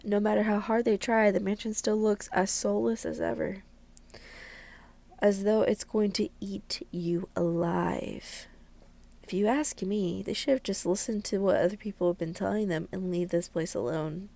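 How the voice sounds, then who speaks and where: A woman's voice: medium pitch, mellow. A woman doing a let's play, expressively reading visual novel narration aloud with stumbles and casual asides. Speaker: video creator playing a visual novel. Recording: clearly noisy recording.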